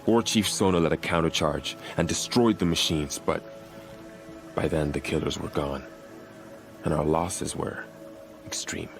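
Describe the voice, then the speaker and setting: Super deep voiced warrior on gate duty, grave and dutiful, game dialogue, bit of music behind. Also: male voice, fairly even intonation. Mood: somber, earnest. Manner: measured, earnest, grave. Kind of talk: video game voice acting.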